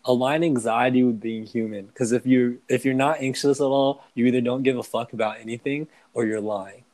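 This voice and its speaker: A man's voice: mid-range voice, brisk. A young adult man who grew up in the United States and China, hosting a podcast and talking casually with a blunt, swear-heavy manner. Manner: blunt, swears freely, relaxed. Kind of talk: casual conversation.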